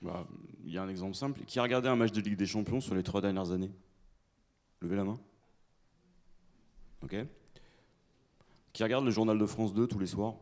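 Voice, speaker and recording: Panelist, male, low and mellow, measured emphatic delivery in a lecture hall. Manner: measured, emphatic, polls the audience. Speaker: conference panelist. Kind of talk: explanatory lecture-style talk to an audience.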